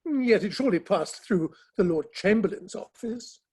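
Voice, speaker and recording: A man reads a Victorian gentleman in a play, formal and mildly ironic. The voice is high for a man and distinctly husky, with understated, measured delivery. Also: animated, exaggerated voice. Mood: concerned. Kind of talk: dramatic play reading.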